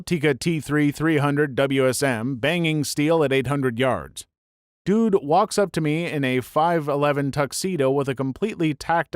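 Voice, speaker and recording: Man, story narrator, slightly high voice, dead dry sound, brisk even reading. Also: dry recording. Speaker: narrator reading online posts.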